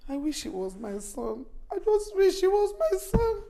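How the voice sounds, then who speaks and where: A woman's voice: high-pitched, expressive, moderate pace. An actress playing a distraught, accusing mother with a Nigerian accent, insisting and repeating herself in an emotional film drama. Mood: anguished, tearful. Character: distraught, accusing mother of a jailed adult son. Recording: echoey room.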